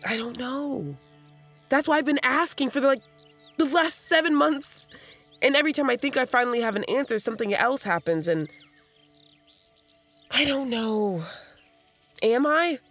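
Troubled, self-loathing woman, raw and halting. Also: high voice, animated, fairly bright tone, faint music behind, heavily acted. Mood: confused, frustrated. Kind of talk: audio fiction drama acting.

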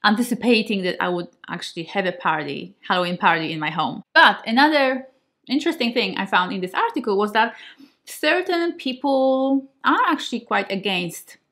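A Polish-born woman in her thirties, a native Polish speaker, chatting to the camera at home. She talks in a relaxed, reflective way and laughs easily. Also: mid-range voice, normal speed. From Poland. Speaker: vlogger. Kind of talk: casual talk to camera. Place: home kitchen and living room, indoors.

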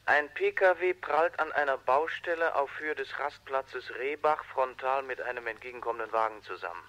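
A man's voice: medium pitch, moderate pace, sharp tone, natural voice. An actor playing a male clairvoyant in a radio drama phone call, foretelling disaster in a terse, matter-of-fact way. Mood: detached, grave.

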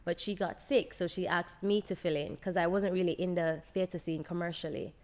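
A woman's voice: fairly low pitch, fairly quick. A young adult woman with a Jamaican accent, telling stories casually and acting out dialogue as she chats into a close podcast mic. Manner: animated, acts out quoted dialogue. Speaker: podcast guest, actress. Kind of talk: casual conversational storytelling. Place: open, bright living room, on a sofa. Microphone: podcast microphone on boom arm, close.

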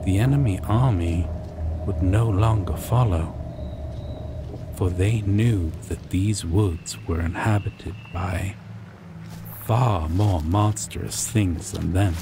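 Slow, low-voiced man narrating over loud noise. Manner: deliberate, with dramatic pauses. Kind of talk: scripted second-person story narration, ASMR roleplay. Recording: loud steady background noise.

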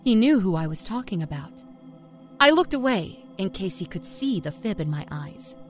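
Woman acting a lively, sly small-town confessor. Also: mid-range voice, moderate pace, lightly acted. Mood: sly, gleeful. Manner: folksy, chatty, smugly confiding. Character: sly, self-satisfied small-town Kentucky woman, born liar. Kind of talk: first-person dark storytelling. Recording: music under the narration.

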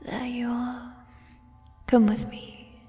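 A woman voicing a gentle, shy giant female troll in a soft, breathy ASMR whisper, with tender, formal phrasing. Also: a little high, little pitch movement. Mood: tender, concerned. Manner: slow, formal old-fashioned phrasing, tender. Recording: long reverb, music behind. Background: fantasy ambience music.

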